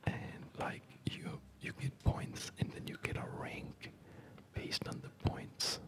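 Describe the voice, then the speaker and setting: A man doing relaxed, chatty ASMR game commentary in a soft, breathy whisper. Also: takes it slowly. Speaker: host of an ASMR gaming channel. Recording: background game music. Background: loud game music.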